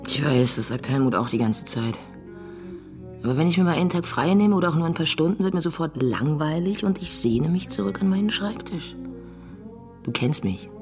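Radio drama: frank woman writer, low register, intimate and natural, music underneath. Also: lively intonation, moderate pace. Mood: thoughtful. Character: busy woman writer and teacher, thoughtful, frank. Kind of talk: naturalistic German radio play acting. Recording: music behind the voice.

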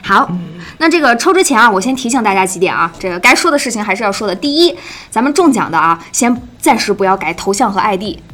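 A woman's voice: mid-range voice, expressive, fast, hardly any pauses, fairly bright tone. A woman hosting a live online event in a chatty way, often repeating and rephrasing as she steers the talk along. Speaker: livestream host.

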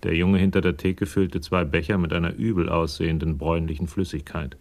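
A man's voice: low-pitched, steady tone, brisk, a little mellow. A male actor narrating a German radio crime drama in a detached, dry, literary storytelling style.

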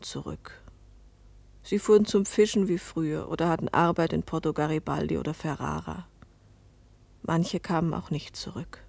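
A woman's voice: on the low side, vocal fry, a little mellow, husky, no echo, heavily acted. An actress playing a poor fishing-town woman who recounts her hard life in a restrained literary radio play narration.

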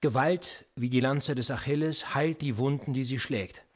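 An actor playing a cool, sardonic male police interrogator in a radio play, calmly probing and sarcastic. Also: medium pitch, normal speed, lightly acted.

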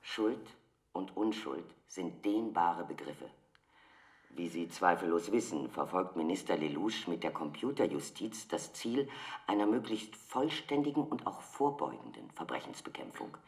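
An actor playing a cold, methodical official of a computer justice system in a German radio drama, speaking in a measured, insistent way. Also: male voice, deep voice, lively intonation, gravelly, full character voice. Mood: formal, calculating.

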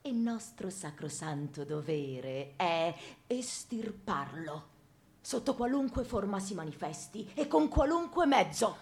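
A woman's voice: mid-range voice, very animated, takes it slowly, a little mellow. A woman playing a stern, dogmatic senior priest in a theatrical radio drama, pompous and sermonizing. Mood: exasperated. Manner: pompous, sermonizing, rhetorical questions.

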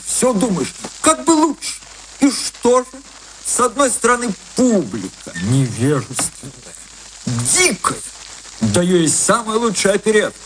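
Despairing little impresario, thin reedy tenor, slow self-pitying wail, wildly varied pitch, faint hiss behind. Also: male voice, a bit bright, heavily acted, low-quality recording. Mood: despairing lament. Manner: lamenting, dramatic, self-pitying. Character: small thin despairing theatre impresario, whiny complainer. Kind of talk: theatrical radio drama acting.

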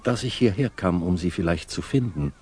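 An actor playing a courteous, reserved middle-aged English gentleman in a 1960s radio drama, speaking drily and deliberately. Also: sits low, animated, slightly rough. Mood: polite, calm. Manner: polite, dry, deliberate. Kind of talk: 1960s German radio drama acting, dialogue and narration.

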